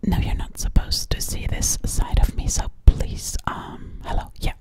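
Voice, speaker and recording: Woman as alien girl, sweet and sassy, breathy ASMR whisper, slow, repetitive phrasing, close mic. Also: close to an everyday voice. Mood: coy, insistent. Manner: repeats words and phrases slowly, soothingly. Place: dim living room indoors. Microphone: close-up at a large ASMR microphone.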